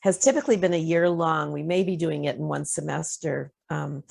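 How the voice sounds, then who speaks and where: A woman with a lowish voice leads a workshop over a video call. She talks in a loose, conversational way, often pausing on "um" as she gathers her thoughts. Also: gravelly. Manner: relaxed, conversational, with frequent fillers. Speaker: presenter leading a discussion. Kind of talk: online workshop discussion.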